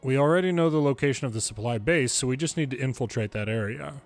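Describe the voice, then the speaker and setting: A man's voice: medium pitch, fast. Man with a mid-range voice for reading game cutscene dialogue with light character acting, faint music underneath. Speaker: fan reader of game dialogue. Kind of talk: reading game story dialogue aloud in English.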